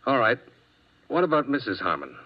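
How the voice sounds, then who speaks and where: A man's voice: medium pitch, sing-song, brisk. An actor playing a hard-boiled male insurance investigator, who narrates and questions people in a clipped, dry way in a 1950s radio drama. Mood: probing. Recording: muffled old radio broadcast.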